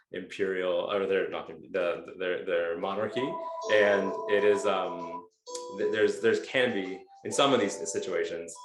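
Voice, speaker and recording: A Canadian man hosting a webinar on a video call, earnest and hesitant with many fillers. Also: low voice. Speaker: webinar host. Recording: echoey room.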